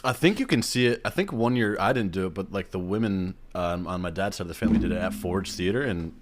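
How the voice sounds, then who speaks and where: A man's voice: low-pitched, expressive, quick pace. A man chatting casually as a podcast co-host.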